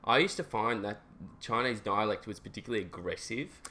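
A young man hosting a casual interview, easygoing and halting with plenty of fillers. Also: on the low side, animated, sharp tone. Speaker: podcast host.

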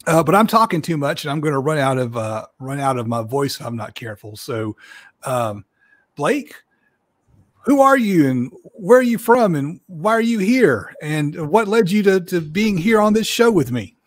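A man's voice: a little high, sing-song, normal speed, no room sound. A man hosting a live-stream podcast, chatting casually and rambling a bit, with a scratchy, strained voice. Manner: chatty, rambling, self-deprecating. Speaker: podcast host.

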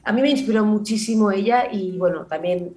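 A woman's voice: mid-range voice, fairly quick, soft background music. A woman with a Barcelona Spanish accent, born in Granada, chatting as a comedy writer and performer guest, telling stories with laughter and restarts. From born in Granada, raised in Barcelona. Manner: lively storyteller, laughs often, many restarts. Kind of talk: casual interview conversation.

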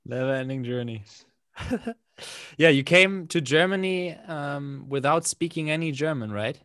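A man hosting a casual interview, speaking slowly and playfully and echoing his guest's phrases. The recording is dry and close, with no room sound. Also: a little high, fairly bright tone. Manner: slow, playful, echoes the guest's words. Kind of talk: casual conversation.

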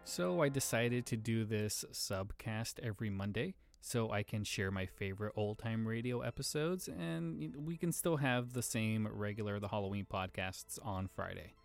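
Guy hosting a podcast, lowish voice, reading his intro w/ soft music under it. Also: lively intonation, moderate pace, vocal fry, few pauses. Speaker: podcast host.

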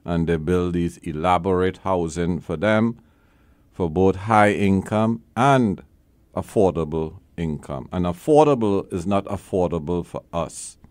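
Slow, deep-voiced blunt lawyer host. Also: male voice, darkish tone, dry recording. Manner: emphatic, blunt, repeats key phrases. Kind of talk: call-in legal advice show.

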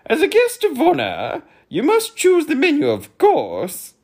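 Man putting on a high, hearty, pompous storybook humbug. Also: huge pitch swings, measured pace, a little mellow, heavily acted. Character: fussy, overeager male humbug, pompous.

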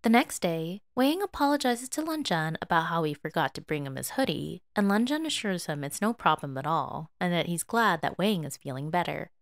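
A woman reading fiction aloud as a podfic narrator in an expressive, scripted storytelling style. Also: lowish voice, moderate pace, few pauses, no echo, studio quality.

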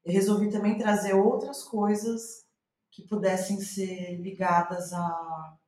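A woman's voice: mid-range voice, fairly even intonation, takes it slowly, mellow. A Brazilian woman speaking as an interviewed playwright, reflecting in conversation and circling back to rephrase as she thinks aloud. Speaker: guest playwright. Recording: roomy, echoing voice recording.

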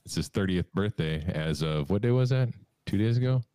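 Deep-voiced dry-humored young man. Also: animated, a little fast, warm tone, clear, resonant tone, dry recording. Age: 20s. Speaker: podcast co-host. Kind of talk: casual chat.

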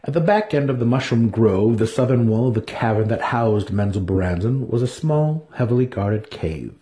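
A man's voice: medium pitch, animated, normal speed, warm tone. A man giving a dramatic reading of a fantasy story as its narrator. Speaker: audiobook narrator.

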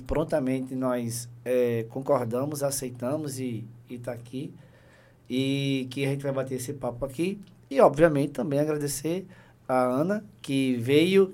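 Halting, joking middle-aged male host. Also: medium pitch, darkish tone. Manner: halting, many fillers, joking asides. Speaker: podcast host, insurance team leader. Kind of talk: casual live podcast chat.

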